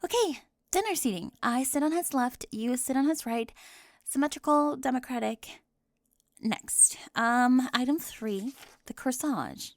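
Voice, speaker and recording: A woman acts out a prim, controlling popular teenage girl in a scripted ASMR roleplay. Her voice sits high and very dry. She is officious and over-precise, easily flustered, as she lays down rules like a tiny committee chair. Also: lively intonation, a little slow, no room sound. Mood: officious, insistent. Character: prim, controlling, hyper-organized popular teen girl planning prom.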